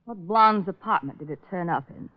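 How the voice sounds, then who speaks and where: A woman's voice: lowish voice, expressive, fairly quick, no room sound, close to an everyday voice. Woman acting a sardonic noir wife, arch teasing delivery, vintage radio drama, dull recording. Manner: arch, sultry teasing, dry wit.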